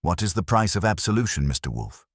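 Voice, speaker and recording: Male narrator as cornered society gentleman, very low voice, proud, calculating, terse bitter bravado, mystery audiobook. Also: very animated, quick pace, slightly rough, dry recording, clean studio sound, full character voice. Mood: defensive.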